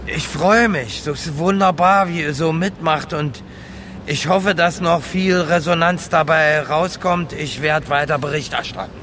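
Middle-aged homeless guy, plain talk, unfazed, slightly high voice, traffic noise all round. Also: measured pace, fairly bright tone. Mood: grateful. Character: unruffled, modest homeless man fronting a donation campaign. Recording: outdoor street noise, traffic.

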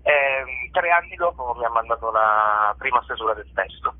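A man's voice: medium pitch, lively intonation, normal speed, slightly rough. A male stage actor giving a casual radio interview, speaking enthusiastically and often hesitating and repeating words as he searches for the phrase. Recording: narrow phone-like line.

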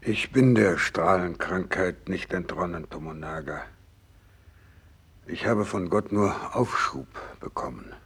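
An actor in a 1960s radio drama playing a gravely ill, devout doctor, husky and creaky, speaking slowly and solemnly with resigned fervour. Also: male voice, sits low, a bit bright, exaggerated voice. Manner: slow, solemn, resigned, fervent. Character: gravely ill, devout, selfless male Japanese doctor nearing death.

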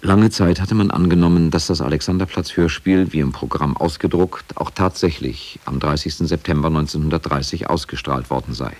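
Low-pitched man narrating a documentary. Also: a little fast, few pauses, darkish tone. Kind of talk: scripted factual narration.

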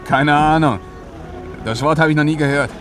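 An actor playing a breezy, offhand young clerk in a German radio play. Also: male voice, fairly high pitch, normal speed, fairly bright tone, faint music behind, close to an everyday voice. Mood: breezy, amused. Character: breezy young male bank clerk, unaware of his visions. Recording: noisy outdoor city and boat ambience. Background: outdoor urban and boat sounds.

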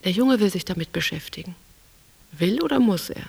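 A woman's voice: mid-range voice, animated, a little fast, gravelly, darkish tone, husky, dry recording, exaggerated voice. An actress playing a middle-aged, weary travelling stage woman, speaking an introspective inner monologue in sparse fragments for a radio play. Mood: detached. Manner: sparse, fragmentary, reflective phrases.

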